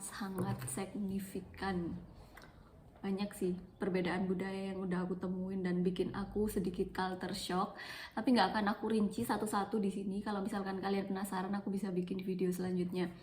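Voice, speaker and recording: A woman's voice: medium pitch, a little mellow, slight background noise. A young woman from Malang with an East Javanese accent, chatting casually into her phone for a personal vlog and repeating words for emphasis. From Malang, East Java, Indonesia. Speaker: vlogger.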